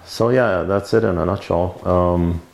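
A man's voice: deep voice, a little slow, creaky, darkish tone. A man, telling his story in a rambling, self-correcting way in an oral history interview at a winery. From Lebanon. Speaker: winemaker interviewee. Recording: roomy recording with faint noise.

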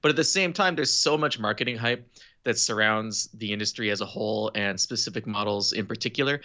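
A man from Quebec, Canada, talks as a podcast guest in a bright, forceful voice that sits a little low. He tells stories in a relaxed way with dry humor. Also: a little fast. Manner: relaxed storytelling, wry humor. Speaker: automotive journalist guest. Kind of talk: casual podcast interview.

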